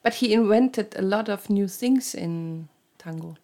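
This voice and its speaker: Slow-talking woman prompting questions. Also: mid-range voice, mellow. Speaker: podcast co-host. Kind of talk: casual chat and episode sign-off.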